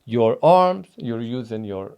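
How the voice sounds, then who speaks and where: Tunisian-born man, mellow fitness trainer. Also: mid-range voice, animated, takes it slowly, smooth. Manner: emphatic, hesitant with many fillers. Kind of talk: casual interview talk.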